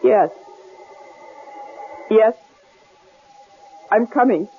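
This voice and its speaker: High-voiced wary wife, old radio. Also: female voice, loud music behind the voice. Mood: frightened. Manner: hesitant, halting, carefully polite. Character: frightened, wary wife trapped with a killer. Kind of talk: old-time radio thriller acting. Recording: muffled, lightly hissy old radio drama.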